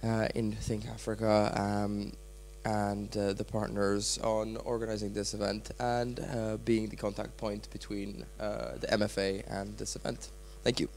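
A man from a ministry introducing himself at a workshop in a friendly, brisk way. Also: fairly low pitch, little pitch movement, creaky, a little mellow, slight background noise. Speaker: ministry staffer, event contact. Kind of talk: self-introduction.

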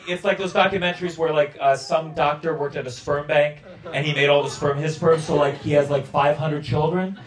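A man in his thirties, a stand-up comedian doing crowd work on a club stage with a handheld mic, talking in an animated, incredulous way. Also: on the high side, distant mic, a little hiss or hum. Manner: animated, incredulous, laughing often. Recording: roomy club sound with crowd laughter.

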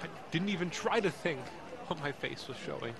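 An actor playing an anxious, emotional young man who narrates his ordeal in an audio drama, intense and halting. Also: fairly high pitch, expressive, vocal fry, fairly bright tone, a faint crowd. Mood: resigned, tense. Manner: intense, halting, repeats words.

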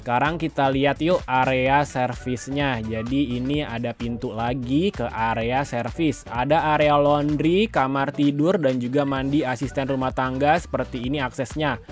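A man's voice: medium pitch, steady tone, normal speed. A man, giving a casual, chatty house-tour narration and addressing viewers directly. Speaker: property tour host. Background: background music.

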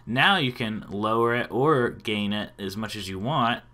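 A man in his thirties hosting a casual software tutorial. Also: fairly low pitch, unhurried. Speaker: tutorial host.

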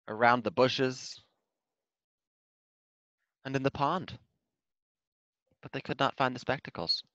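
A man's voice: fairly low pitch, bright, forceful tone, dry recording, lo-fi sound. A man reading a children's storybook aloud in scripted narration. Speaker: storybook reader.

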